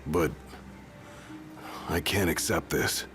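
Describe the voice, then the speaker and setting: Very deep-voiced young bodyguard. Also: male voice, fairly even intonation, slow pace. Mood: resolute. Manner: casual, laid-back slangy phrasing. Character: gruff, brawny young royal bodyguard, confident and casual. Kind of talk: dramatic video game acting. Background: loud music.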